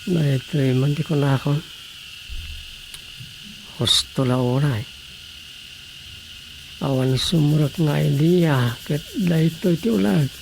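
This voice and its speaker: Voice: medium pitch, takes it slowly, gravelly, pauses often, poor audio. An actor playing a pensive writer stuck for ideas in a radio drama, with faint noise behind. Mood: pensive, frustrated.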